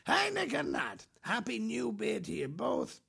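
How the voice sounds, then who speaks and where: Gravelly man reading with animated swoops. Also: measured pace. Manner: playful, exaggerated reading with big pitch jumps. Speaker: podcast co-host. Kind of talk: reading a listener email aloud.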